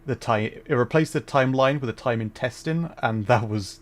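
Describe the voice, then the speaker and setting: A man's voice: mid-range voice, moderate pace. A young adult man chatting casually while streaming a game, rambling and correcting himself, with frequent laughs.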